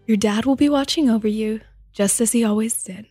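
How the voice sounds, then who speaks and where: A woman giving a measured, solemn dramatic reading of a personal letter. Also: a little high, dark tone, no echo, faint music behind. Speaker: reader.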